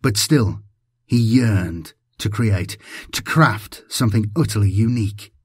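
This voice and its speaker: A man's voice: fairly low pitch, unhurried, breathy edge, no echo, clean studio sound. A man giving a dramatic scripted narration of an audiobook as its narrator.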